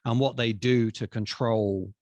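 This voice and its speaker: A man speaking as an expert guest, explaining things in a measured, deliberate way with pauses. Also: medium pitch, fairly even intonation, darkish tone, dry recording, lo-fi sound. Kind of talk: explanatory conversation.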